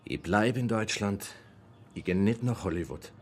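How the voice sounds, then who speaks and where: Proud filmmaker, low, animated voice. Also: male voice, normal speed, breathy edge. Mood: defiant, proud. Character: ambitious, proud, evasive mountain-born male filmmaker. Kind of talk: German radio play acting.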